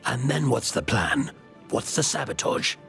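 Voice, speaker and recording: An actor playing a gruff, scornful mine worker and wary conspirator in a video game, dry and dismissive. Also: male voice, medium pitch, big pitch swings, a little slow, fairly bright tone, soft background music, exaggerated voice. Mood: skeptical. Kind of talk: video game cutscene acting.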